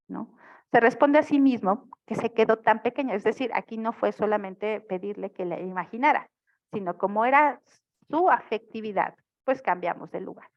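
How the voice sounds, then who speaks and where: A woman's voice: medium pitch, highs cut off. A woman teaching a family therapy class online, explaining and often checking in with her students. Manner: explanatory, frequent checking questions. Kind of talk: online lecture.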